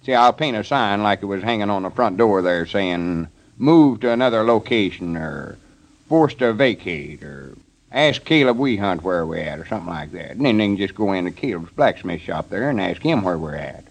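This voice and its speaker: An actor playing a proud, folksy small-town storekeeper and schemer with a rural Southern US accent, in 1930s radio comedy style. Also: male voice, fairly low pitch, expressive, normal speed. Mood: matter-of-fact. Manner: folksy, self-satisfied explaining.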